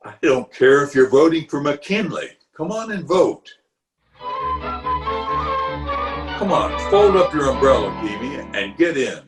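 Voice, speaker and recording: A man's voice: medium pitch, big pitch swings, lightly acted. A man playing a blustery, genial middle-aged small-town uncle in a pompous, theatrical 1940s radio comedy style. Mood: jovial, pompous. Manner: pompous, theatrical, with drawn-out hesitations and chuckles. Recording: music behind the voice, remote home recording.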